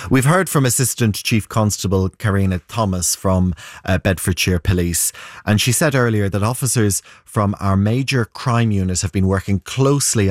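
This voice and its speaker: Male reporter, low-pitched voice, live studio news report, dry close sound. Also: no room sound. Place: radio studio.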